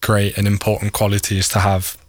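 Young male, Pashto first language, casual guest chat, lowish pitch, little inflection. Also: completely flat delivery, fairly quick, dry recording. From Swat Valley, Pakistan. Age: young adult. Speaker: interview guest.

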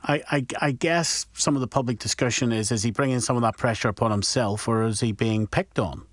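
A man's voice: mid-range voice, dry recording, studio quality. Probing male radio presenter. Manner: probing, quick interjections. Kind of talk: morning radio interview.

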